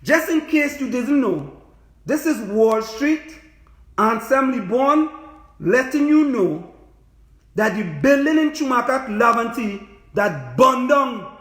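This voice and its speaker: A man's voice: very high voice, very animated, slow pace, fairly bright tone. A man with a Trinidadian accent delivering an impassioned, informal livestream monologue, emphatic and repetitive, full of rhetorical questions. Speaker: livestream host, political activist; business lecturer. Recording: echoey room, livestream.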